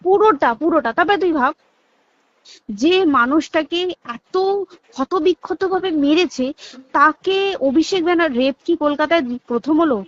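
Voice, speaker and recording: A woman's voice: high voice, moderate pace, no room sound. A young woman with a West Bengal Bengali accent talks casually but insistently on a recorded phone call, often asking emphatic rhetorical questions.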